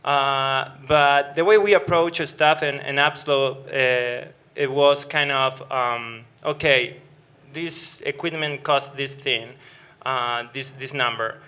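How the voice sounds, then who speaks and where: A man with an Argentine accent speaking on a brewing panel, halting with many fillers and restarts, mixing in joking asides. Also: medium pitch, fairly even intonation, bright, forceful tone. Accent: Argentine Spanish. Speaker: brewing operations director on a panel. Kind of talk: panel discussion.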